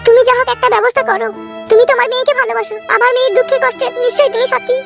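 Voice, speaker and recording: A woman's voice: very high-pitched, steady tone, rapid-fire, gravelly. A woman voicing a middle-aged, scheming village mother-in-law in a comedy cartoon, fawning and folksy, with a Bengali accent. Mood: pleading, conniving. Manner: fawning, folksy, repetitive endearments. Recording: music behind the voice.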